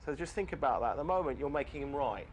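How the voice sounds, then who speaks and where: A man's voice: medium pitch, lively intonation, fast, slight background noise. A man working as a vocal coach, giving firm, blunt pep talks to a singer. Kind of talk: coaching and reality TV interview.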